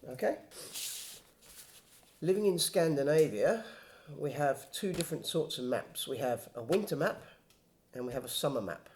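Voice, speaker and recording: A man's voice: fairly high pitch, lively intonation, moderate pace, darkish tone, a little hiss or hum. A man from England, patiently explaining map and compass basics step by step as a hiking guide. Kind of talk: casual instructional explanation.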